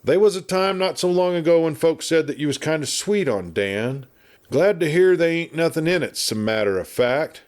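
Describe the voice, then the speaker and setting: A man's voice: on the high side, animated, moderate pace, voice pushed up, lightly acted. A man voicing a garrulous, good-natured but irascible frontier marshal in a storybook, with an American Western drawl and folksy, blustery chatter. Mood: jovial, chatty. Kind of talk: storybook character voice.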